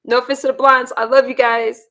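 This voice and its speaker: A hoarse woman hosting a casual livestream, rambling and talking straight to her chat. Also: a little high, level tone, a little fast, sharp tone. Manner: rambling, talks to the chat directly. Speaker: livestream host.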